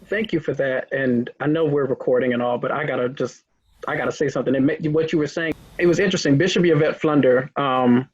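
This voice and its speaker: A man's voice: medium pitch, steady tone, brisk. A man interviewing a guest, talking in a rambling, hesitant way with many restarts. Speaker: interviewer, host. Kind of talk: casual interview. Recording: muffled lo-fi recording.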